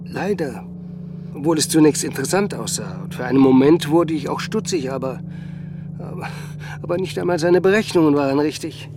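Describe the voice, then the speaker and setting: An actor playing a renowned, aloof scientist in a radio drama, speaking formally and hesitantly, with condescension. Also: male voice, a little high, fairly quick, lightly acted. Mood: hesitant, dismissive. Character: aloof, renowned male scientist, coolly dismissive. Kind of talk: German radio drama dialogue. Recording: music playing under the voice. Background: music.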